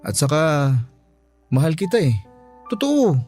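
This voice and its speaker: A humble, affectionate Filipino husband in a radio drama. His voice sits fairly high and moves with very lively intonation, coaxing and sincere, over a music bed. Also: unhurried. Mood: earnest, loving. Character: apologetic, sweet-talking husband, humble and affectionate. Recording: music under the voice.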